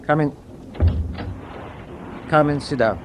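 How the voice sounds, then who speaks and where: Commanding German tanker captain, wartime radio drama: fairly high voice, formal, curt, faint background noise. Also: male voice, slow.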